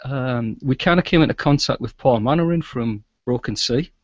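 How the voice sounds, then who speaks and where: A man's voice: mid-range voice, normal speed, no echo, lo-fi sound. A middle-aged man chatting casually as an interview guest, in a chatty manner, over a video call. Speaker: guest, audio drama producer and sound engineer. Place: small indoor room with shelves, video call.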